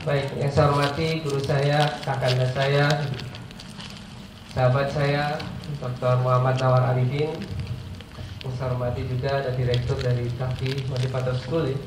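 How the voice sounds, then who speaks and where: A man's voice: medium pitch, level tone, moderate pace. A man in his forties with an Indonesian accent and a stuffy, nasal voice, giving an informal, jokey motivational talk to listeners he treats as friends. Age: 40s. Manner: jokey, self-deprecating asides, addresses listeners as friends. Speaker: guest motivational speaker. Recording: echoey room, far mic, clear background noise.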